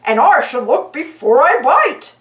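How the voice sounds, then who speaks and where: A woman's voice: high-pitched, big pitch swings, unhurried, fairly bright tone, roomy sound, full character voice. A woman voicing a bumbling, good-natured male cartoon dog in a children's storybook. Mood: puzzled, concerned.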